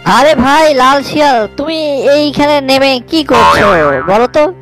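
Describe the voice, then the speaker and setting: A woman's voice: high-pitched, a little slow. A woman voicing a hungry, curious wandering fox in a children's cartoon fable. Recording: loud music behind the voice.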